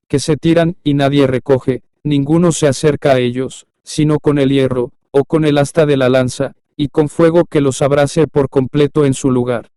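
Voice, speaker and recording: Male scripture narrator, solemn and measured, clean resonant tone, slightly higher pitch, dry close recording. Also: warm tone, clear, resonant tone, no echo. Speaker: audiobook Bible reader.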